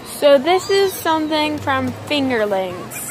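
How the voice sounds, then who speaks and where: A young girl with a slightly raspy voice, chattily reviewing toys on a handheld phone in a big store, often distracted. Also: high-pitched, sing-song, a little slow, music in the background, low-quality recording. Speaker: vlogger. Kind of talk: casual toy review vlog. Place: large indoor retail store. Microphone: handheld phone camera.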